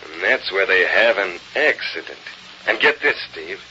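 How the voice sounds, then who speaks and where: An actor playing a gruff, cocky American gang boss giving slangy, clipped orders in an old radio crime drama. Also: male voice, low voice, lively intonation, unhurried, sharp tone, full character voice. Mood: cold scheming. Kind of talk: 1930s-40s radio crime drama acting. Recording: dull, noisy old radio recording.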